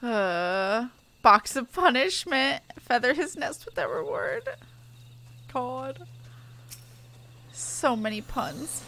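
High-voiced woman streaming a game. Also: very animated, slow pace, long pauses, sharp tone. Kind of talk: casual gameplay commentary, reading dialogue aloud. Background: game music.

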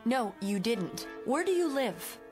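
A woman's voice: a little high, sing-song, measured pace. Nervous, prim woman over music. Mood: rattled. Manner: hesitant, prim, defensive. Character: nervous, proper schoolteacher woman, defensive and uneasy. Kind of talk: radio drama acting. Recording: music under the voice.

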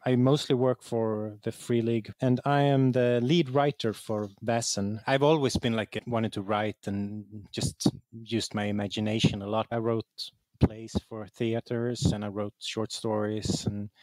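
A man from southern Sweden, a native Swedish speaker with a southern Swedish accent, talking casually and haltingly as an interview guest. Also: on the low side, steady tone, a little mellow, dry recording. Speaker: interview guest, game writer.

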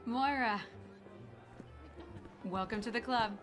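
A woman's voice: on the high side, slow, faint music behind. A woman playing a confident, protective young woman in a naturalistic, direct, wry game performance. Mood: casual. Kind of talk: naturalistic video game acting.

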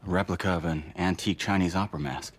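An actor playing a calm middle-aged charity director in a game, speaking in a measured, earnest way. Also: male voice, low-pitched, husky.

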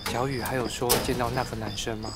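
A man's voice: mid-range voice, level tone, fast talker, very noisy, lightly acted. A man playing a kindly, regretful schoolteacher in a radio drama. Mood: gentle. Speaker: voice actor. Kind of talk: radio drama acting and recollection. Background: music.

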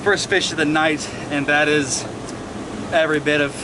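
Young male fishing vlogger, fairly high voice, breathless and hyped, dam water rushing loud behind him. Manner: excited, breathless, folksy slang. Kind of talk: excited outdoor vlog chatter. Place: riverbank by a dam at night, outdoors. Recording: outdoor recording with loud water noise.

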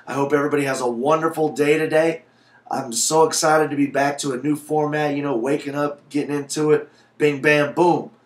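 Higher-voiced man in an echoey room. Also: steady tone, brisk. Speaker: video blogger. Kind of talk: casual vlog talk with passage read aloud. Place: small cluttered home office. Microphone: webcam microphone at desk distance. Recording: roomy, echoey webcam sound.

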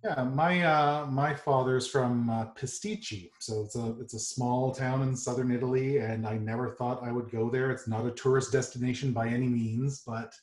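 A man in his forties, a film director answering interview questions over a video call, halting with many fillers. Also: medium pitch, steady tone. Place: home office, indoors. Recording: roomy video call audio.

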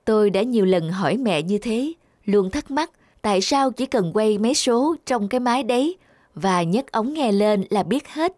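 A woman's voice: mid-range voice, expressive, moderate pace, darkish tone, no echo. A woman reading scripted narration for a story.

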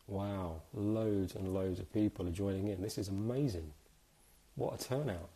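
A man in his thirties, a little hoarse from a cold, hosting a live video interview in a chatty, upbeat way with many fillers. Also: sits low, normal speed, warm tone. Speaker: host. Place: indoors, small room.

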